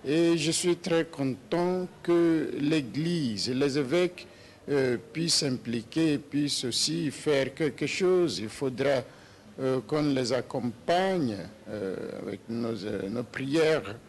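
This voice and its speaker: A man in his sixties from Nigeria, a papal nuncio, answering interview questions slowly with many hesitations and restarts. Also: medium pitch, a little hiss or hum. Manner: slow and hesitant with many "euh" fillers and restarts. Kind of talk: slow, halting interview answers.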